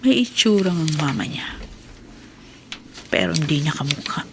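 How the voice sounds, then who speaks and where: A woman's voice: sits low, huge pitch swings, moderate pace, heavily acted. A woman with a Filipino accent playing a troubled, curious housewife in a radio drama, sighing and thinking aloud. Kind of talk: Filipino radio drama acting, musing monologue. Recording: noisy radio drama track.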